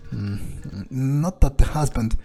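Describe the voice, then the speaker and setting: A man's voice: medium pitch, takes it slowly, vocal fry, heavy background noise. A man doing in-character gaming commentary as a cynical vampire, thinking aloud in a hesitant, dryly sarcastic, sweary way. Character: cynical, sardonic, foul-mouthed male vampire. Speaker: let's player speaking in character.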